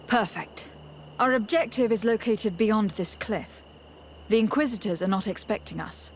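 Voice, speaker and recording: An actress playing a composed noble envoy and leader in a video game, speaking formally and with measured authority. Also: female voice, mid-range voice, a little hiss or hum, natural voice. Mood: composed, commanding. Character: noble female envoy, composed young leader giving orders. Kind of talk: scripted video game voice acting.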